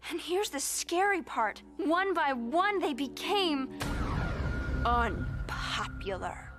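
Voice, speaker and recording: A woman's voice: high voice, very animated, slow pace, music in the background, full character voice. A woman voicing a dramatic teenage girl storyteller in a cartoon. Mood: spooky drama. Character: dramatic teenage girl telling a scary tale.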